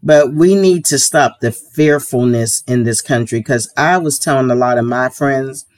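A woman from the United States hosting her own podcast, a little hoarse from a sore throat, talking earnestly and with strong opinions. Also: rumbling low, moderate pace, a little mellow. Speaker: podcast host. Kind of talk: casual solo podcast talk.

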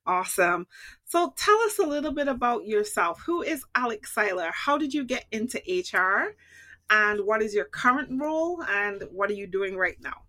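A woman's voice: mid-range voice, big pitch swings, normal speed, sharp tone, no room sound. A woman hosting a podcast, welcoming a guest and asking questions in an upbeat, affirming way. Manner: upbeat, affirming with frequent backchannels. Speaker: podcast host. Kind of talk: scripted show intro and interview.

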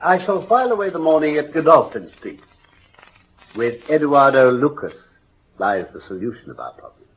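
Theatrical English detective, animated, husky. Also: male voice, on the high side, normal speed, heavily acted. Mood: analytical, animated. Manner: precise, emphatic, theatrical, formal diction. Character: brilliant, brisk, commanding male English consulting detective. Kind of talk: classic British radio drama acting. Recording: muffled old radio broadcast.

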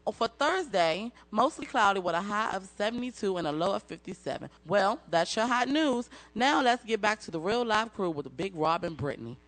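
A young man reads news headlines and a weather forecast for a student radio show. He keeps to a plain, steady read and drops in brief opinions between the items. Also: sits high, a little fast, hardly any pauses. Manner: reads headlines, adds brief personal comments.

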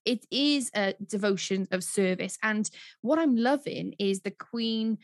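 A woman's voice: medium pitch, measured pace, no room sound, studio quality. A Welsh woman co-hosting a podcast, chatting enthusiastically and gushing about the topic, often ending with tag questions. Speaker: podcast co-host. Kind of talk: casual chat.

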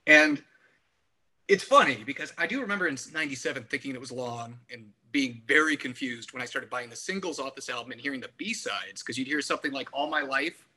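A man's voice: medium pitch, pushed and bright, breathy edge. A man talking casually through an album discussion, explaining his views in wordy, analytical detail.

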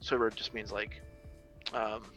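A young adult man talks casually, circling back and restarting his sentences as he works through a point. His voice is bright and forceful, and faint music sits in the background. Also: on the low side, level tone, measured pace, rough recording. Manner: rambling with many restarts and fillers. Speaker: social media creator on biblical masculinity. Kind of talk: casual conversation.

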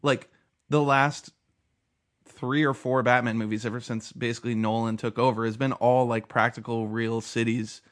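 A man hosting a relaxed conversation about film news. His voice sits at an ordinary middle pitch. He talks in a loose, rambling way and keeps restarting his sentences. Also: normal speed. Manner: chatty, many fillers and restarts. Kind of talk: casual film news chat.

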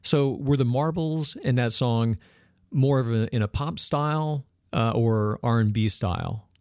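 A man interviewing a guest, asking clear, guiding questions. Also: medium pitch, measured pace, darkish tone.

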